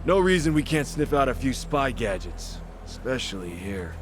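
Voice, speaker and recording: A male actor voices a condescending video game crime boss who runs a casino. He speaks at a middle pitch in formal, measured phrases, smug and composed, with music playing clearly behind him. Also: sing-song, close to an everyday voice. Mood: smug lecturing. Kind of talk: English video game voice acting.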